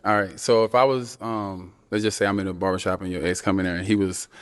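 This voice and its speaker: A man's voice: deep voice, steady tone, fast talker, fairly bright tone. A man on a dating show, laid-back and slangy, hesitating with ums. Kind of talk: casual talk on a dating show.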